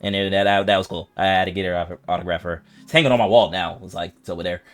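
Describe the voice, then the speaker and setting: A man's voice: sits low, steady tone, very fast, faint music behind. A man streaming a video game, chatting casually in an informal, rambling way as he comments.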